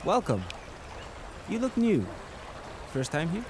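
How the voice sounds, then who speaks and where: Friendly, inquisitive local man played by an actor, very animated pitch, radio-drama dialogue over noisy watery ambience. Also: slow, exaggerated voice. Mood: friendly curiosity. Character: friendly, curious local man of a river cliff city.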